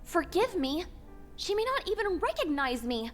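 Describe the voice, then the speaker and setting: A woman's voice: very high-pitched, sing-song, moderate pace, soft background music. A woman voicing an anxious, guilt-ridden young female pony in a dramatic story reading, fretful and earnest. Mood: anxious, grim. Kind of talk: dramatic fan-fiction audio reading.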